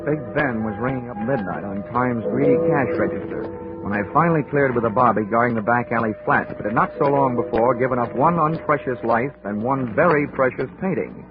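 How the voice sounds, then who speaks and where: An actor playing a wry, hardboiled American insurance investigator in old-time radio style, dry and wisecracking. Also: male voice, medium pitch, brisk, over loud music, lightly acted. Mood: wry narration. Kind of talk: old-time radio detective acting and narration. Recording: muffled, noisy vintage radio recording.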